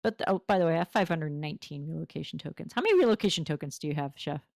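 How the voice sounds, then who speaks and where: A woman chatting casually while playing a game, rambling and self-deprecating, her voice going hoarse after hours of talking. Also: low voice, lively intonation, racing, darkish tone.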